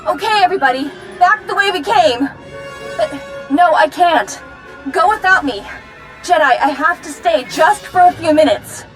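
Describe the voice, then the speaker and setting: An actress playing an eager, earnest young female Jedi companion in a video game, excitable and hurried. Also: very high-pitched, faint music behind, low-quality recording. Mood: anxious, eager. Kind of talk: scripted video game acting.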